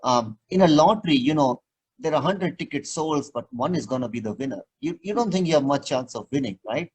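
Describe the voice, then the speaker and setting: A man with a husky, slightly breathy voice explains surgery patiently as an interview guest. He talks in a measured, teaching way and leans on simple comparisons to make a point clear. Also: fairly high pitch, lively intonation, darkish tone.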